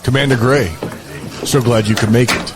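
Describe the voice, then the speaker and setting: An actor in an audio drama playing a brutal gang boss and enforcer with a curt, commanding manner. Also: male voice, mid-range voice, steady tone, normal speed, noisy background, close to an everyday voice. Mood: smug, in control.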